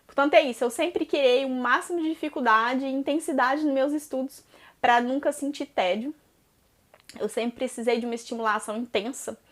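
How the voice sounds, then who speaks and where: A woman's voice: high-pitched, brisk. A Brazilian woman in her thirties with a Brazilian Portuguese accent, answering viewer questions in a chatty personal vlog, with many "né?" tags and some hesitant restarts. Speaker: vlogger.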